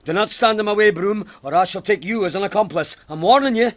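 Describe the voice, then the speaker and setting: An actor playing a pious, stubborn, haunted police sergeant in an audio drama, speaking in an intense, formal, demanding way. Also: male voice, high voice, fast talker, natural voice. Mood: furious. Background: music.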